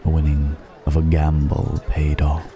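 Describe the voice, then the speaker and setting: A man playing a suave, probing dragon posing as a curious stranger, musing and gently mocking in an intimate ASMR roleplay. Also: very deep voice, completely flat delivery. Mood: musing, challenging. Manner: deliberate, musing, gently mocking, long pauses. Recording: background music and crowd murmur.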